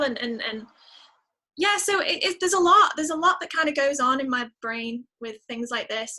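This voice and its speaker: A woman's voice: a little high, bright, forceful tone. A woman talking casually as an interview guest, reflective and enthusiastic about music. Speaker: guest singer-songwriter.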